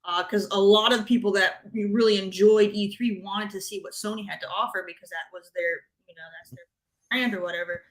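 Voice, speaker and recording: A woman's voice: medium pitch, fairly even intonation, a little fast, low-quality recording. A woman speaking informally as a panelist on a gaming talk show, giving opinions in a blunt, emphatic way.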